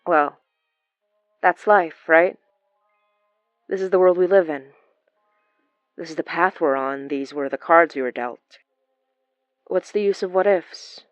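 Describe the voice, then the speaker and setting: An actress playing a warm, earnest young woman in an audio drama, gentle and reflective, with sighs. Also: fairly low pitch, takes it slowly, long pauses, no echo, muffled recording, soft background music. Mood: wistful. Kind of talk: naturalistic audio drama acting.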